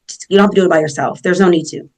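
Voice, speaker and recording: Woman, professional organizer, earnest, encouraging, repetitive, slightly low voice. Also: rapid-fire, darkish tone. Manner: earnest, encouraging, repeats herself. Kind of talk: casual advice in a podcast chat.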